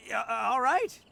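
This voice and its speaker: An actor playing a brash, big-hearted oni brawler in a video game, talking loudly and laughing often. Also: male voice, very high voice, gravelly, slight background noise, exaggerated voice. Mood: boisterous. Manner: loud, laughing, swaggering but earnest.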